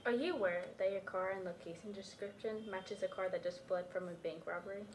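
A woman's voice: medium pitch, a little fast, a little mellow, slight background noise, lightly acted. A woman acting a stern, by-the-book police officer, official and commanding, in an amateur radio drama.

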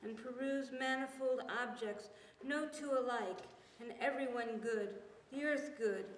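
A woman's voice: fairly high pitch, unhurried. Distant, echoey woman reading poetry. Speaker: poet reading at a public reading. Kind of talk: poetry recitation. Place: indoors in a church hall. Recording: distant, echoey hall, faint noise.

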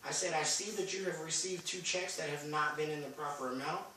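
A middle-aged man playing a formal, official benefits agent in a halting phone manner for a prank call. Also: on the high side, level tone, pushed and bright. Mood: businesslike. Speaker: prank caller. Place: home kitchen and living room, indoors. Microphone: phone camera across the room. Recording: distant phone-camera recording, echoey room, noisy.

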